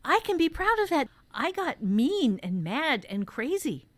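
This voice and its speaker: A woman's voice: sits high, very animated, a little slow. Candid woman chatting on a podcast. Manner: candid, informal, swearing, emphatic. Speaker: podcast host and workshop teacher. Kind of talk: casual workshop chat and personal storytelling.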